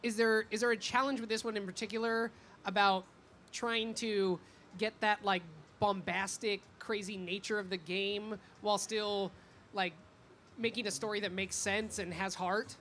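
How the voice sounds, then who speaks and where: A woman's voice: medium pitch, fairly even intonation, moderate pace, a bit bright. A woman interviewing a guest in a casual, conversational way, feeling out her phrasing with lots of filler words. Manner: hesitant phrasing, frequent "like". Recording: noisy convention event background.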